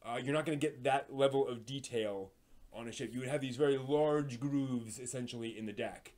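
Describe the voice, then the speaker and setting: A man hosting a casual live stream, explaining hobby projects in a halting, thinking-aloud way. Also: medium pitch, darkish tone.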